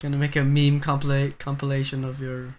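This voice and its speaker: A man's voice: mid-range voice, monotone, a little fast, very noisy. A young man co-hosting a podcast with teasing, joking banter. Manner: teasing, jokey. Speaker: podcast co-host.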